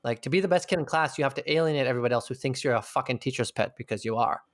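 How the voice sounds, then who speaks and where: A man's voice: mid-range voice, racing, no room sound, clean studio sound. A man being interviewed, talking in a thoughtful, searching way and swearing casually now and then. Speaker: interview guest. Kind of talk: reflective conversational interview.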